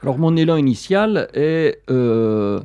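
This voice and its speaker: A man's voice: medium pitch, expressive, measured pace, warm tone, smooth, no echo. An older man from Belgium, a professor, answering interview questions thoughtfully with frequent fillers. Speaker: professor guest.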